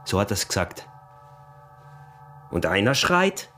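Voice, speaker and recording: Deep-voiced austrian peasant smuggler, sly scheming husband, pushy, old-time village radio play. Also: male voice, very animated, takes it slowly, pushed and bright, a little hiss or hum, full character voice. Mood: agitated, suspicious. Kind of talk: radio drama acting in dialect.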